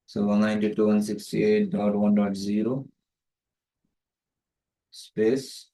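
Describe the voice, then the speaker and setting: Monotone man tutor in an echoey room. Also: lowish voice, slow. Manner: methodical, explanatory. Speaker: networking tutor. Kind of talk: step-by-step tutorial narration. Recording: roomy echo, distant microphone.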